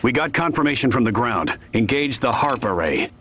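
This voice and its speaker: A man's voice: medium pitch, big pitch swings, moderate pace, background noise, lightly acted. A man playing a stern, middle-aged army general in a game cutscene, curt and commanding. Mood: cold, resolute.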